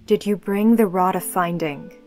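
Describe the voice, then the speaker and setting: A woman playing a calm, resolute young female necromancer in a visual novel. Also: lowish voice, moderate pace, natural voice. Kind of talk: dramatic visual novel acting. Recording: loud music under the voice. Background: loud music.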